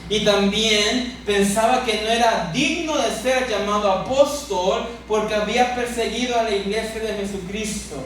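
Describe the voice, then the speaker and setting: A man with a Mexican accent preaching a sermon as a pastor, speaking emphatically with repeated phrases and pauses for effect. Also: high voice, fairly bright tone. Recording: echoey church hall, distant mic, noisy.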